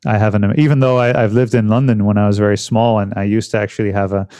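A man's voice: fairly low pitch, fairly even intonation, quick pace, warm tone, clear, resonant tone. A man from the Netherlands, speaking with a Dutch-tinged American accent, chatting loosely in a conversation with lots of restarts and fillers. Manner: rambling, many restarts and fillers. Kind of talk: casual conversation.

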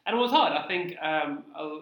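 A man with a South African accent, an interview guest telling his story casually in an informal, halting way, in a roomy recording. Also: fairly high pitch, lively intonation, fairly bright tone. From Cape Town, South Africa. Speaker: interview guest, tax practitioner. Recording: roomy, echoey sound.

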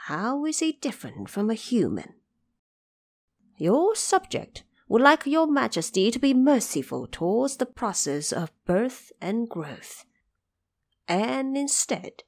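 A woman plays an old heavenly messenger in a fantasy tale. He is formal and diplomatic, choosing his words with measured care, and his intonation rises and falls expressively. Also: medium pitch. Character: dignified old male celestial envoy, diplomatic and reverent.

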